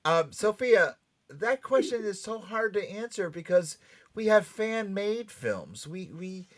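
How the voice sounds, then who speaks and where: Animated podcast host, stammering and excitable. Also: medium pitch, takes it slowly. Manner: stammering, repeats phrases, excitable. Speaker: show host. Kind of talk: casual panel show banter.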